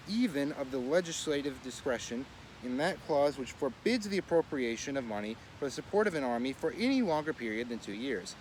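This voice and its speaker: A man reading an old political essay aloud in a measured, oratorical way, now and then stumbling and correcting himself. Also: medium pitch, low-quality recording. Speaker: reader of a daily series. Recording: clear street and vehicle noise.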